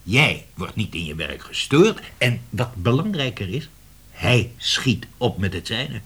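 An actor playing a blustering, persuasive male publishing director in a radio play, emphatic and given to dramatic pauses and repetition. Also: on the low side, sing-song, fairly bright tone, slightly rough, heavily acted. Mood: cajoling. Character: blustering, cajoling male publishing director of old family firm.

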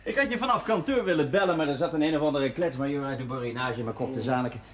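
A man's voice: a little high, rapid-fire, natural voice. A man playing a brash, bantering husband and proud young father in a naturalistic television drama. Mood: jovial. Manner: boisterous, bantering, slangy. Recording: roomy, noisy old television drama soundtrack.

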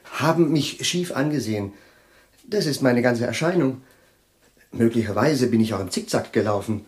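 A forgetful chemistry professor fumbles for ordinary words, stammering and apologising in a polite, rattled way, as an actor plays him in a radio drama. His voice sits at an everyday middle pitch. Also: darkish tone, natural voice. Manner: hesitant, groping for words, polite and nervous. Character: absent-minded male chemistry professor, flustered. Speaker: professor Jefrosimow. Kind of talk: 1980s radio play acting.

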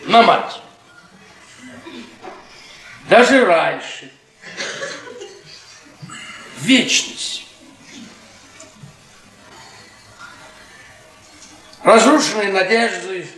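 Weary middle-aged professor, fairly high pitch, theatrical, mocking, stretched words, radio play, echoing room. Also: male voice, gravelly, a bit bright, full character voice. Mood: bitterly mocking. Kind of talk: Russian stage-style radio play acting.

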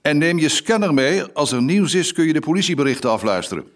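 A man's voice: fairly high pitch, sing-song, quick pace. An actor playing a middle-aged police inspector father in a Dutch radio play, dry and teasing, with a Netherlandic accent. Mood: firm, teasing. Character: good-natured teasing police inspector father of two boys. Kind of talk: radio drama acting.

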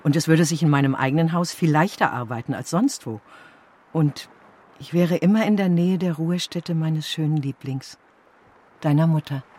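An actress playing a warm-hearted, simple housekeeper who speaks affectionately in a German radio drama. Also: female voice, deep voice, normal speed. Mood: fond, earnest. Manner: affectionate, fond endearments.

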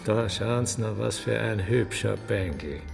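A man's voice: fairly low pitch, measured pace, creaky. A man with an Austrian accent playing a courtly, sardonic master of ceremonies in a radio play, speaking ornately with mock-polite relish. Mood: sly relish. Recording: long hall reverb, music underneath.

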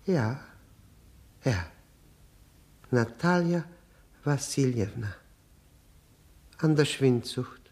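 An actor playing an obsequious, self-abasing widower in a 1960s German radio drama, halting, apologetic and overly polite. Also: male voice, mid-range voice, expressive, a little mellow. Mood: mournful.

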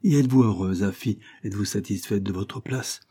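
A man's voice: lowish voice, fairly quick, warm tone, slightly rough. A man reading an audiobook, voicing a pale, firm young nursemaid who questions steadily. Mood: earnest.

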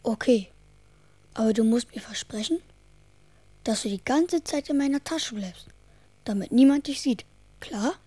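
A woman's voice: on the high side, animated, a little slow, pauses often, dark tone, slightly rough. A woman playing an eager, earnest and slightly bossy young schoolboy in a children's radio drama, speaking in a direct, childlike way. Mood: matter-of-fact.

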